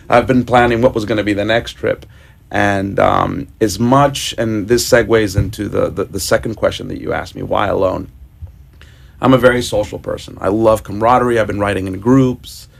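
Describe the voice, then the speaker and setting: A man from Ecuador, a guest on a radio show, telling his story in a relaxed, casual way. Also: on the low side, gravelly. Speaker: guest motorcyclist.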